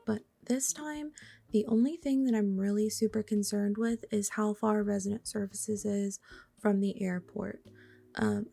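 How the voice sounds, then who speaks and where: A young woman talks through a cozy game in a relaxed, mellow voice. Her tone is raspy and a bit hoarse because she is under the weather, with a gravelly fry at phrase ends. She chats on softly and now and then drops to a whisper. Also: a little high. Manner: soft-spoken, chatty, trails into whispers. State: slightly hoarse from a cold. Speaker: gaming vlogger. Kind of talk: casual gameplay commentary.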